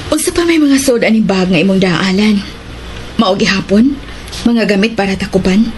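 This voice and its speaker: A woman's voice: mid-range voice, moderate pace, noisy background, natural voice. A woman in a radio drama playing an anxious, devoted accomplice to her lover. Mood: questioning, uneasy. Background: dramatic background music.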